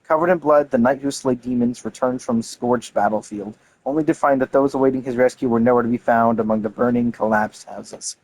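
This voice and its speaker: A man's voice: medium pitch, steady tone, brisk, hardly any pauses, a little mellow, rough recording. A man reading game lore aloud and commenting casually and enthusiastically, with some casual swearing. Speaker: gaming content creator.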